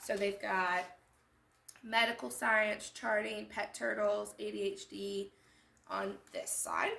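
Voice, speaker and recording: Animated, bright-voiced woman reading a storybook aloud. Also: medium pitch, slow pace, gravelly. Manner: animated, acting out lines and pointing out details. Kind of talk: expressive picture-book read-aloud with explanatory asides. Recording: echoey room sound.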